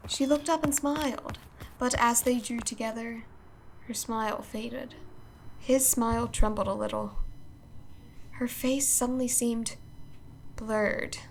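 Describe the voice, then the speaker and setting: A woman's voice: on the high side, steady tone, slow, vocal fry, long pauses, a little hiss or hum, low-quality recording. A woman reading scripted storytelling narration for a radio play.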